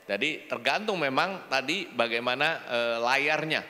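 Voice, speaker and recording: A man interpreting a sermon live on a large church stage into a handheld mic, rendering each phrase quickly with many fillers. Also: mid-range voice, bright, forceful tone. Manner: fast, filler-heavy rendering with "ya" and "eh". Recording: long hall reverb.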